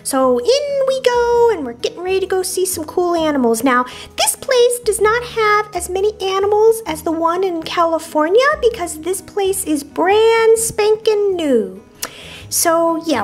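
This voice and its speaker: A woman voicing a cute, excitable little lamb girl plush toy who narrates a travel vlog in a chirpy, childlike, giggly way. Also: extremely high pitch. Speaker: vlog host.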